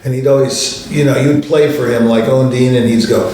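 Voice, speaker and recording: A man's voice: medium pitch, fairly even intonation, a little fast, mellow, distant mic. A man tells a meandering personal story in casual talk, drifting off the subject and doubling back to correct himself. His voice sits back from the microphone in a very reverberant room, with a faint hum of ambience underneath. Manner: rambling, digressive, self-correcting. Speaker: show host telling stories. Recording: echoey room, voice set back from the mic, faint ambient noise.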